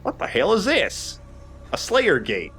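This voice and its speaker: A man's voice: sits high, huge pitch swings, measured pace, a bit bright. A man giving casual, sweary and jokey commentary as he plays a video game. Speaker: let's play host, player. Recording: game music behind the voice. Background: video game music.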